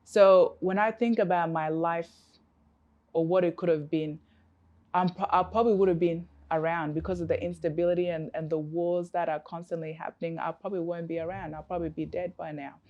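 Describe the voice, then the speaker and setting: Low-voiced earnest woman, reflective coach. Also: steady tone, fairly quick, a little mellow, muffled recording. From Africa. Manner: reflective, earnest, many fillers and restarts. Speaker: coach, interview guest. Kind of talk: conversational interview answers.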